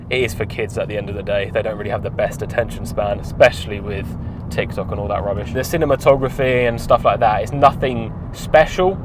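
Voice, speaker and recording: Young man, offhand and wry, recorded in a car with road noise clearly audible behind him. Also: medium pitch. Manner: rambling, offhand, wry asides. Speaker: film reviewer. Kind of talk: casual spoken review. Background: clear car and street noise.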